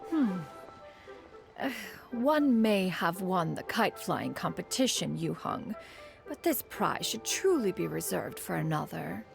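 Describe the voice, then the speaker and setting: A woman's voice: on the low side, big pitch swings, slow, vocal fry, faint music behind, exaggerated voice. A woman playing a proud, ancient female immortal in a video game, speaking in a lofty, archaic, formal manner. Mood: dignified, haughty. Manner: haughty, archaic, formal, calls herself "one".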